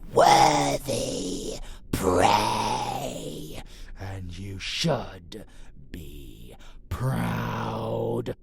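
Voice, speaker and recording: Slow, very gravelly vampire monster. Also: male voice, medium pitch, sharp tone, full character voice. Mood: stern, proud. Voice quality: gravelly, growling, breathy whispers. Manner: slow, theatrical, swinging from whispers to roars. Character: menacing vampire monster, predatory yet possessive and protective. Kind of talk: monster roleplay audio drama, ASMR.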